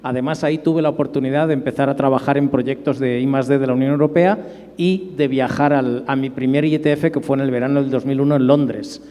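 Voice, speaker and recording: A man's voice: medium pitch, fairly even intonation, fairly quick, warm tone. A middle-aged man with an accent from Spain, speaking informally as a conference panelist. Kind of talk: informal personal recollection on a panel. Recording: long hall reverberation.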